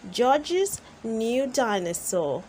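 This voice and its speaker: A woman reads a picture book aloud for young children. Her voice is fairly high and swings expressively through each sentence. She takes it very slowly, with a little background noise. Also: big pitch swings, darkish tone. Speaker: storybook reader and channel host.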